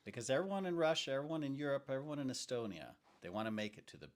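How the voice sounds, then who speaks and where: A man hosting an interview, asking open questions briskly with short reactions. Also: medium pitch, lively intonation. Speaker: interview host.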